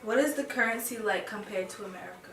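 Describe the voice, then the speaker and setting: A woman's voice: mid-range voice, quick pace, gravelly, fairly bright tone, far from the mic. A young adult woman asking casual questions as an interviewer. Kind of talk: casual chat. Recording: roomy, off-mic, faint background noise.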